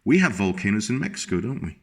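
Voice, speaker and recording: A man reading a picture book aloud to children, slowly and gently, stopping often to point out the pictures. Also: lowish voice, very animated, slightly rough.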